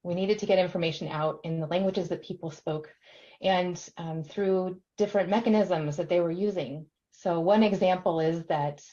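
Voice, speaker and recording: A woman's voice: fairly low pitch, normal speed, warm tone. A woman who works in extension, speaking steadily in a documentary interview with frequent fillers. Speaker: extension professional. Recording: dull lo-fi recording.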